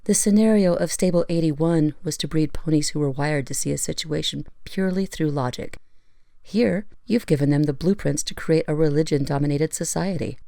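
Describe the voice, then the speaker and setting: A skeptical female pony analyst played by an actress with a low, dark voice. She is sharp-minded, dry and sardonic, questioning things in a conversational way. The drama recording is very dry. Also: moderate pace, creaky, no room sound. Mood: skeptical, probing. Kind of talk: conversational audio drama dialogue.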